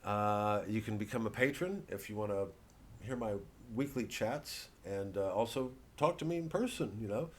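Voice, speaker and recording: Male comedian, lowish voice, digressive satirical solo riffing, halting delivery with swearing. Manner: acts out mock quotes and voices. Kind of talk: rambling solo satirical monologue.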